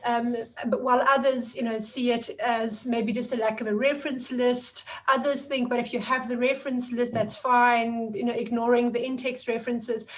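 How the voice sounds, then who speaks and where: A woman in her forties, a guest lecturer speaking in a thoughtful, measured way with some fillers. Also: a little high, little pitch movement. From South Africa. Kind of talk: webinar discussion.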